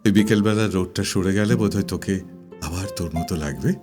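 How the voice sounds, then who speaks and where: A man's voice: low voice, a little fast, close to an everyday voice. A man playing a lovestruck, evasive university student in a recited dramatic dialogue, teasing and wistful, with a Kolkata Bengali accent. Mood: teasing, tender. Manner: teasing, whimsical, sulky. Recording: studio voice over guitar music. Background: plucked guitar music.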